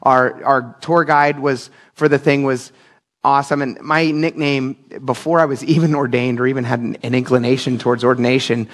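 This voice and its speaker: Man, pastor, casual sermon, conversational, middle pitch, humorous asides. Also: little pitch movement, moderate pace. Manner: casual, chatty preaching with asides and laughs.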